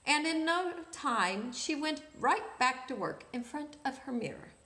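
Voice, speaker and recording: High-pitched, very animated woman reading a storybook. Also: roomy sound. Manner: expressive, pauses to ask listeners questions. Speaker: storybook reader for children. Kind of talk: animated children's read-aloud.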